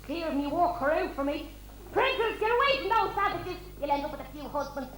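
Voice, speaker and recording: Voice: very high voice, animated, fairly quick, a bit bright. A performer playing a haughty, indignant governess in an amateur stage pantomime, exclaiming and scolding in outrage. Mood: outraged. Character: indignant, scandalised governess, grand and scolding matron. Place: hall stage. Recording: echoey stage recording, faint noise.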